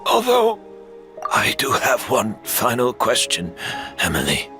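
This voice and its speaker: A dying master perfumer in a fantasy game, speaking slowly, with halting pauses and tearful breaks. His bright voice sweeps across a very wide range, now rising and now sinking to whispers. Soft music plays beneath. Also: medium pitch, wildly swooping pitch, exaggerated voice. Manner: slow, halting, drops to whispers. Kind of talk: game character dialogue.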